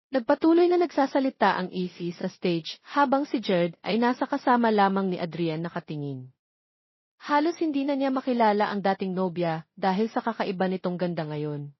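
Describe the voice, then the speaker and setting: Woman narrator reading a romance story, slightly husky, dull sound. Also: mid-range voice, expressive, moderate pace, dry recording. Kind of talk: scripted dramatic narration of romance fiction.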